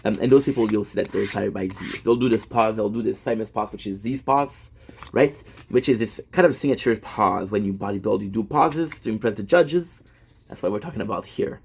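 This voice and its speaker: Man, 30s, content creator. Low-ish, husky; rambling and self-deprecating, stumbles and restarts often. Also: brisk, darkish tone. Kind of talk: casual rambling monologue.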